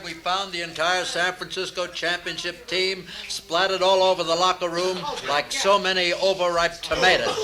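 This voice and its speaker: A man playing a tactless police chief in a comic radio play, describing gore in a deadpan way. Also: sits high, noisy background, close to an everyday voice. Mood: blustering. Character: gruff, tactless male police chief with lurid similes.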